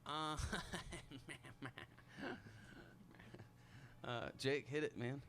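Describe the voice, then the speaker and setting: A man's voice: medium pitch, very slow, vocal fry, slight background noise. A male musician chatting casually in a live radio studio interview, reeling off his band members with an easy chuckle. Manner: relaxed, chuckling, reeling off names. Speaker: musician and bandleader. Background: band playing.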